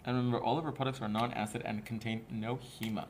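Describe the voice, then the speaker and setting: A man's voice: medium pitch, little pitch movement, quick pace, noisy background. A man running a live nail product demonstration, chatting while explaining each step. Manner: chatty, explains steps while reading viewer comments. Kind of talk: live demo with chat.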